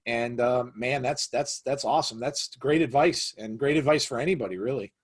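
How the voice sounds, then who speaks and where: A man's voice: medium pitch, normal speed, husky. A man hosting a wrestling podcast, reacting with eager praise and many fillers. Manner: enthusiastic, heavy on praise and fillers. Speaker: podcast host. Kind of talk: casual interview chat.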